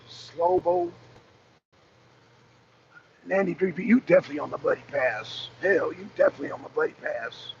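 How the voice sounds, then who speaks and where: Raspy high-voiced man ranting. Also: dull audio, slight background noise. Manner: blunt and profane, calling out rivals. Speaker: host. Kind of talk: casual rant to a live audience. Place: in his truck. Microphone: phone camera.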